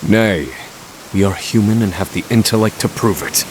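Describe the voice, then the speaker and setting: Actor as a deep-voiced, grave scholar. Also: male voice, noisy background, natural voice. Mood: intent, assured. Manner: measured, formal, erudite diction. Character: grim, brilliant adult male scholar escaping prison. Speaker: fantasy game character. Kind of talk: dramatic video game voice acting.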